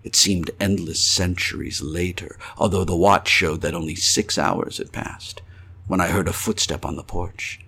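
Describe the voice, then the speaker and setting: A man's voice: low voice, fairly even intonation, normal speed, vocal fry, slightly rough, exaggerated voice. A male actor playing a tormented spirit trapped outside his body, in a florid, old-fashioned melodramatic horror monologue. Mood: haunted, grave. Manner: theatrical, florid, swelling from hushed to frantic cries.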